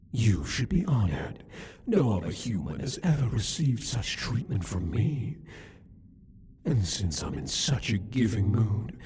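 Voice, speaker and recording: A man playing a cruel, possessive demon king in an ASMR roleplay, speaking to the listener in a breathy, taunting, condescending way. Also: fairly low pitch, dark tone, full character voice. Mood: smug, possessive. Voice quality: breathy, husky. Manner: taunting, condescending, deliberate, theatrical.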